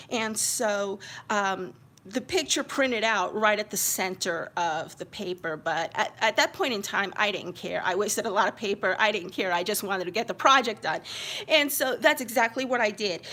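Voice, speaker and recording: A woman's voice: on the high side, fairly bright tone. A middle-aged woman with a slight New York American accent, chatting casually and rambling as she shows a craft project to viewers. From Queens, New York, United States. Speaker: craft channel host showing her handmade album.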